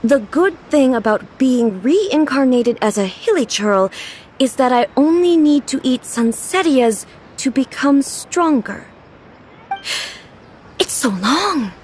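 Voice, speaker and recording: A woman's voice: high-pitched, lively intonation, a little mellow, a little hiss or hum. A woman playing a composed, regal ruler in a video game, speaking formally with earnest curiosity. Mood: puzzled, earnest. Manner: formal, measured, earnest, literal-minded.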